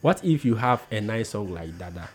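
A man with a fairly low voice guests on a radio chat. He speaks easily and answers mostly in short, agreeable replies. Faint music plays softly behind him. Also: expressive, normal speed. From Ghana. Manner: agreeable, many short okays and backchannels. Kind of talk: casual interview chat.

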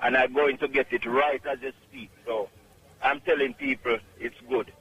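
A middle-aged man from Jamaica with a Jamaican accent, calling in to a radio show and speaking in an emphatic, halting, repetitive way. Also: mid-range voice, steady tone, fairly bright tone, husky, muffled recording. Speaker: caller. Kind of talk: radio call-in testimonial.

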